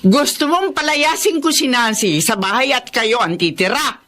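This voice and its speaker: A woman's voice: a little high, lively intonation, moderate pace, a bit bright. An actress playing an elderly, exasperated mother who questions firmly in a Filipino radio drama. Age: older. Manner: questioning, reproving.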